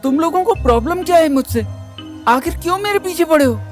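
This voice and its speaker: A man's voice: very high voice, lively intonation, fairly quick, faint music behind, voice pushed up. A man voicing a frightened, effeminate young college student who protests and pleads with his bullies in an audio story. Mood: protesting.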